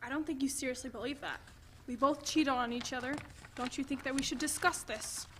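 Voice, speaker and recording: High-pitched, earnest wounded girlfriend, acted by a woman. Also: a little fast, fairly bright tone, slight background noise. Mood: pressing. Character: apologetic, insistent woman confronting her cheating partner. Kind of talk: dramatic stage play reading.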